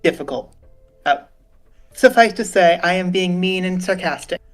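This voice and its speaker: Lower-pitched woman chatting casually over music. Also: normal speed. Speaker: co-host narrating a game read-along. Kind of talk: casual chat between script readings.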